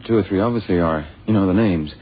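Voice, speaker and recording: Quick-talking, low-voiced sidekick investigator. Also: male voice, breathy edge. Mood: questioning. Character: doubtful, dutiful younger male sidekick investigator. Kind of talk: 1970s radio drama acting. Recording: muffled, tinny vintage radio recording.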